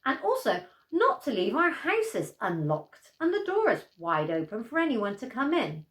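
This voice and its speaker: Older lady doing bedtime storytelling, highish lively voice, bit echoey. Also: measured pace.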